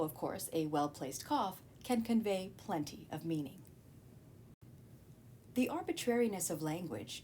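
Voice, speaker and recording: Expressive woman teacher narrating a lesson, noisy recording. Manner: didactic, with rhetorical questions and asides. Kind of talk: scripted educational narration. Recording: clear background noise with low rumble.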